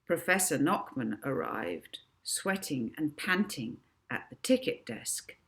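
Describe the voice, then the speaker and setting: A woman's voice: deep voice, animated, slow pace. A woman narrating a children's storybook expressively, as an author reading her own book.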